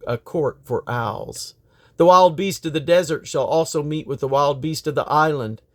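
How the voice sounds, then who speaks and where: A man reading scripture aloud in a solemn, declamatory manner. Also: fairly high pitch. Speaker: Bible reader.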